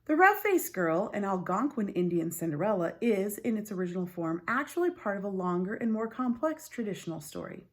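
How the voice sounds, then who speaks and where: A woman reading a story aloud like a teacher, expressive and clearly phrased, with informal asides. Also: mid-range voice, brisk, hardly any pauses.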